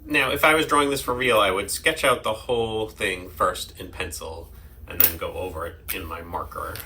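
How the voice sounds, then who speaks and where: A man with a bright, forward voice on the low side leads a casual drawing demonstration for kids. He explains things simply and playfully, step by step. There is faint noise behind him. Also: steady tone, normal speed. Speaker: host of a children's drawing segment.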